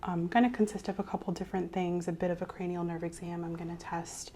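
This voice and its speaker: Soft-spoken woman doctor, mellow ASMR roleplay. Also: lowish voice, little pitch movement, fast talker, slight background noise. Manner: soft-spoken, reassuring, methodical, often trailing off quietly. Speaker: ASMR creator playing a doctor taking a medical history. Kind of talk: ASMR medical exam roleplay, question and answer. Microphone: clip-on mic.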